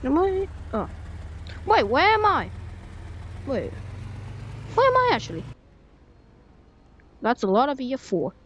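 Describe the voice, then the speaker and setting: A high, swooping woman's voice, reacting to a game in short repeated bursts. She talks slowly between exclamations, and the home mic sounds a little muffled. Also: huge pitch swings, fairly bright tone, a little hiss or hum. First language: Thai. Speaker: gamer playing a storm-chasing game. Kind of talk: live gameplay commentary.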